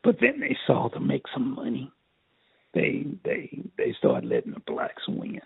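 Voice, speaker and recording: Older man, gravelly strained voice, swings up and down in pitch, tinny phone sound. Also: wildly swooping pitch, no room sound. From Texas. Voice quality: raspy, strained voice. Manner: halting, repeats words, laughs at himself. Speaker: interviewee. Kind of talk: casual storytelling interview.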